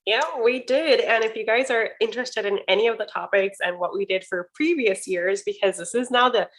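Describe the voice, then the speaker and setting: A friendly woman co-hosts a relaxed podcast over a video call, talking at a medium pitch. She rambles warmly and restarts her sentences often as her thoughts catch up. The talk feels like easy chat between friends. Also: fairly quick. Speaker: podcast co-host. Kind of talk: casual chat. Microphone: video call recording.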